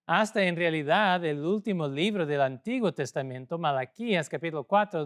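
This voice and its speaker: A man from Portugal with a Portuguese accent, a native Portuguese speaker, preaching a sermon as a pastor in a measured way with pauses. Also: on the high side, clean tone. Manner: measured, pausing, speaking a second language.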